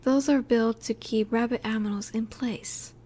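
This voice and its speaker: Soft, mellow, high-voiced female mafia boss acted by a woman. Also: steady tone, slightly rough. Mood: calm, warning. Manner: soft, unhurried, soothing yet in command. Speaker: audio roleplay voice actor. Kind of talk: intimate scripted audio roleplay, addressed to listener.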